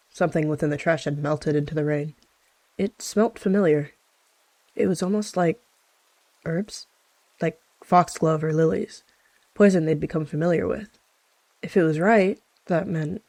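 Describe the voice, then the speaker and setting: A woman's voice: deep voice, creaky, a little mellow, no echo. A woman reading scripted story narration as a storyteller.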